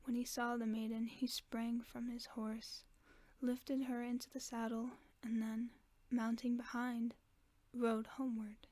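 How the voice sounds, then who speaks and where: Softly whispering woman reading a bedtime story. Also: a little high, little pitch movement, unhurried, no echo. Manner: soft, mostly unvoiced whisper. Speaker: ASMR storyteller.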